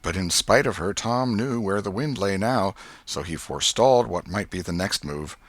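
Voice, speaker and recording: Low-voiced, expressive male audiobook narrator. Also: moderate pace, dry recording. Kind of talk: scripted literary narration.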